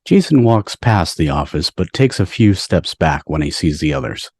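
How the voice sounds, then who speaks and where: Deep voice reading stage directions, dry close sound, script narration. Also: very animated, normal speed, a little mellow, husky, no echo. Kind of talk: scripted narration at a table read. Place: online video call.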